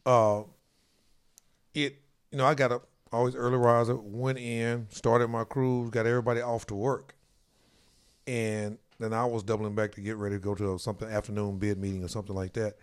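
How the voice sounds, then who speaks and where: A man's voice: on the low side, flat delivery, normal speed, clear, resonant tone. Rambling middle-aged man hosting a talk show. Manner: rambling, repeats words, many fillers. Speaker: sports talk show host. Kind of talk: casual studio talk show chat. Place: studio.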